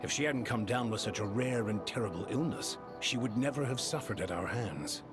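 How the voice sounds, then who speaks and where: An actor playing a composed, authoritative male scientist in a video game, explaining grim matters in formal, measured, articulate speech. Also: mid-range voice, lightly acted. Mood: somber, reflective. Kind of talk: scripted game dialogue, formal exposition. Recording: game music behind the voice.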